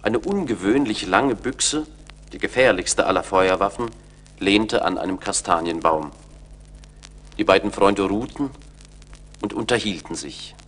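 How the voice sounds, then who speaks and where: A man narrating a radio play in a measured, deliberate storytelling manner with dramatic weight. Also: lowish voice, fairly bright tone.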